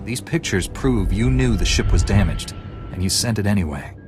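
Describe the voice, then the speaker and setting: A man's voice: on the low side, expressive, moderate pace, lightly acted. A man voicing a grave, righteous, resolute knightly hero in a video game. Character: stalwart, righteous male Jedi knight hero. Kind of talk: video game dialogue acting. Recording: music behind the voice.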